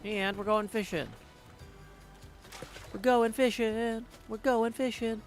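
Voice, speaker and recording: A man's voice: high-pitched, fairly even intonation, takes it slowly. A man giving casual live gameplay commentary as a streamer, chatty and thinking aloud, sometimes singing little tunes to himself. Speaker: video game streamer. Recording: game music behind the voice. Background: video game music.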